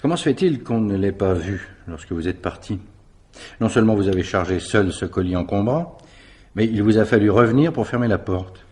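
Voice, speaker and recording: Guy playing a radio drama cop grilling a suspect, lowish voice, dry and insinuating. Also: animated, brisk, a little mellow, lightly acted. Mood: skeptical. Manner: dry, insinuating, methodical questioning. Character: dry, skeptical police investigator questioning a suspect. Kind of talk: French radio mystery drama acting.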